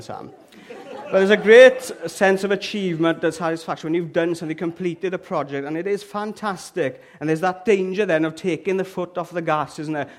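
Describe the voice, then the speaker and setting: Welsh man, middle-aged, church speaker, chatty and funny, slightly high voice. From Wales. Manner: chatty and humorous, often checks in with "okay?" and "all right?". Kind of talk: lively, joking sermon to a live congregation. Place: church hall with an audience.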